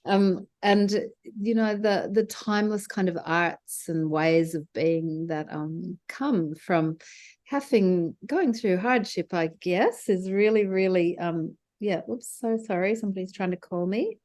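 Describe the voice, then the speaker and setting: A woman's voice: medium pitch, unhurried, darkish tone. A woman from Greece hosting an interview over a video call, talking in a chatty, rambling way with fillers and laughs. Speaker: interview host. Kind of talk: conversational interview.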